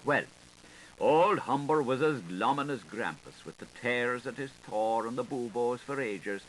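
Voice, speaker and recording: A knowing, sharp-tongued washerwoman voiced by a man passes on scandal in a lilting, rhetorical way. It is a dramatic literary audiobook reading from an old tape with light hiss. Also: mid-range voice, lively intonation, measured pace, close to an everyday voice, rough recording. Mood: storytelling. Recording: hissy 1985 tape recording.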